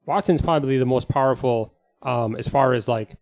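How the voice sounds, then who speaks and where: Wry man with mid-pitched voice. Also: fairly quick, a little mellow. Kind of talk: casual chat.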